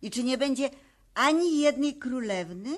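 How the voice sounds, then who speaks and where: Coaxing, higher-voiced troll mother. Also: animated, normal speed. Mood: worried. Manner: coaxing, placating, tender. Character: gentle, soothing troll mother. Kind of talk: 1970s Polish children's radio play acting.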